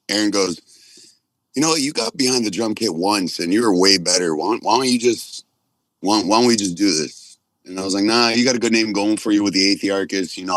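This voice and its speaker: A man in his forties telling stories casually as a podcast guest, rambling and halting, with plenty of swearing. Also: sits low, fairly bright tone, no room sound, rough recording. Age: 40s. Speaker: podcast guest, punk band drummer.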